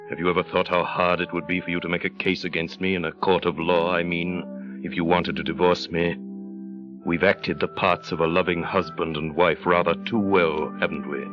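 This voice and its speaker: An actor playing a tormented, brooding aristocratic husband in an old radio drama, speaking with intense, deliberate dramatic phrasing. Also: male voice, low voice, flat delivery, natural voice. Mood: bitter, anguished. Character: tormented, brooding aristocratic husband confessing to his young wife. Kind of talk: 1930s radio acting. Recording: muffled old radio broadcast, music underneath.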